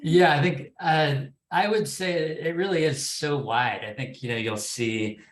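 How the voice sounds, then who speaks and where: A man's voice: on the high side, unhurried, slight background noise. A man answering as a panelist, conversational and tentative as he works through his thoughts. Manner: tentative, hedging delivery. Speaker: panelist, podcast development executive. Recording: echoey, reverberant room.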